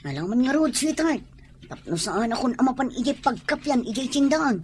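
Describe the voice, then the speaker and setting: A woman's voice: sits high, sing-song, a little fast, a little mellow, a little hiss or hum. A woman playing an affectionate, hardworking young market porter husband in an audio comedy drama.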